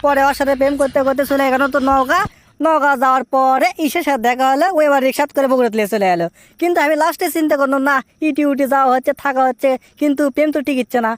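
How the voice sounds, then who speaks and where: A man acts out a brash young cartoon man with a high voice and a Bogura accent. He rattles along very fast, teasing and boasting, in a dry, close sound. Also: fairly even intonation, a bit bright, no room sound. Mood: boastful. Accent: Bogura, Bangladesh. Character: cheeky, boastful young man scolding his lovesick friend. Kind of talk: comic cartoon dialogue.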